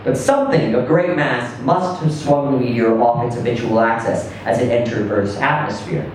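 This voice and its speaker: A man reading a first-person short story aloud in a conversational yet theatrical way, with deliberate pauses. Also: mid-range voice. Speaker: reader performing a short story. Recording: roomy, echoing, distant mic with faint noise.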